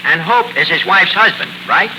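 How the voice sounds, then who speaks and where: A man's voice: sits high, animated, normal speed. An actor playing a bumbling, cocky male tavern manager with a New York accent, wisecracking and mangling words in a 1940s radio comedy. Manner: wisecracking, self-important malapropisms. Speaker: comedy show lead. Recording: noisy 1940s radio broadcast recording.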